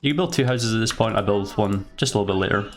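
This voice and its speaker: A man with a fairly low voice talks through a strategy game step by step in a casual tutorial style. He sounds congested from a cold. The recording is clearly noisy, with keyboard typing and clicks behind him. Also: fast. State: stuffy nose from a cold. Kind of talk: casual step-by-step gameplay commentary. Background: keyboard typing and mouse clicks.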